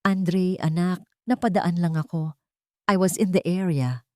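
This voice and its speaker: A woman's voice: fairly low pitch, measured pace, darkish tone, no room sound, studio quality, lightly acted. Haughty rich Filipina mother-in-law voiced by a woman. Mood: condescending.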